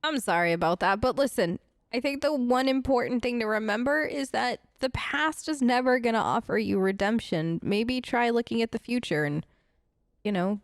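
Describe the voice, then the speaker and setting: A woman's voice: medium pitch, no room sound, clean studio sound. A woman doing playful, informal role-play commentary as she hosts a video game let's play, chatting in character. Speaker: gaming host.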